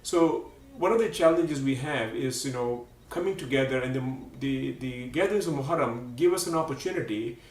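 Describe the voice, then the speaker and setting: A man hosting a live religious program, speaking haltingly with many fillers. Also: medium pitch. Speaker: program host. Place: Seattle area. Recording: roomy, distant mic.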